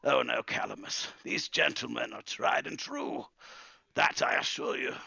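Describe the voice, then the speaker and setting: A man voicing an old wizard, brooding and theatrical, with drawn-out lines in a tabletop fantasy game. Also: mid-range voice, huge pitch swings, vocal fry, pushed and bright, breathy edge, dry recording, voice pushed up, full character voice. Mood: agitated. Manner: theatrical, drawn-out, swinging between cries and hisses. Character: old bearded wizard, grieving, brooding, quietly menacing.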